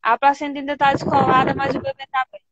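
Soft-spoken woman on a video call. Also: sits high, monotone, fast talker, dull audio, slight background noise. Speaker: caller on a live stream. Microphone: phone on a video call.

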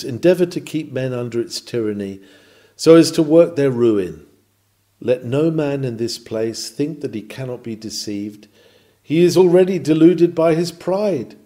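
A man's voice: medium pitch, mellow. A man reading a scripted sermon in a solemn, emphatic, rhetorical manner. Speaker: reader of a historical sermon. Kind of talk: sermon, read from a script with oratorical delivery.

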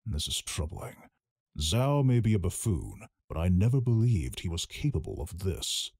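A man voicing a grave, stately ruler in a video game, formal and measured. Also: low-pitched, sing-song, darkish tone, husky, no room sound, studio quality, exaggerated voice.

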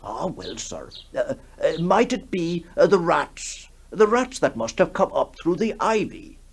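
An actor playing a grave, polite bishop in a radio horror story, speaking formally and hesitantly. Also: male voice, fairly high pitch, very animated, unhurried, creaky, breathy edge, pitched above the performer's own voice, full character voice. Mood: reasoning, hesitant. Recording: noisy 1960s radio broadcast.

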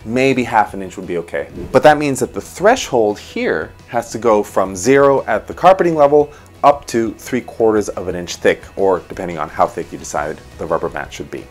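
A man in his thirties with a lowish voice explains a room layout in a home office, his pitch rising and falling freely. He talks close to a lapel mic, and soft music plays underneath. His manner is animated and hands-on. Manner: animated, gestures while explaining. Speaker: interior designer host. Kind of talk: design walkthrough explaining a floor plan.

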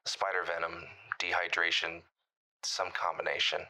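An actor playing a bitter caller who survived a monster attack, recounting it grimly in an audio drama. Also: male voice, low voice, little pitch movement, moderate pace, sharp tone, exaggerated voice, poor audio. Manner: grim storytelling, bitter.

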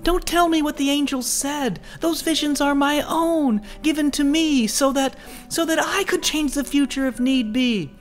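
Man putting on an anguished young woman, high strained voice, pleading, defiant, storybook reading. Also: moderate pace, faint music behind.

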